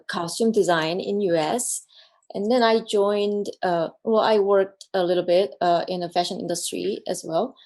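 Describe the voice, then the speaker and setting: A woman from South Korea speaking as a panel guest, halting and full of fillers. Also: fairly low pitch, a little mellow. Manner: halting, many fillers, unhurried. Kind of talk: casual chat.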